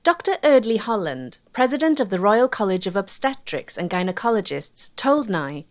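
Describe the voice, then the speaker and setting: A woman's voice: mid-range voice, very animated, moderate pace. A woman with a Welsh accent reading scripted narration as the presenter of a documentary.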